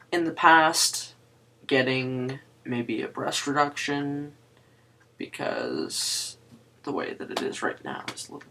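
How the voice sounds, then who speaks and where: A young woman with a low, androgynous voice, hosting a casual video chat and talking to camera with many pauses, ums and restarts. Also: a bit bright, breathy edge, rough recording. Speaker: guest host of a video chat series.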